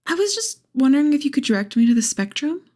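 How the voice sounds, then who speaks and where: A man's voice: extremely high pitch, sing-song, fast, darkish tone, voice pushed up. A man voicing a breezy young woman who asks a cop for directions in a casual, offhand way.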